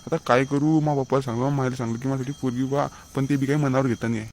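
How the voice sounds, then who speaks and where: A man's voice: mid-range voice, steady tone, fast talker, a little mellow, a little hiss or hum, natural voice. Man playing a griping young village bachelor, rural Maharashtrian accent. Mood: grumbling. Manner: complaining, argumentative. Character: impatient young village bachelor son desperate to marry. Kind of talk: comic Marathi village cartoon dialogue.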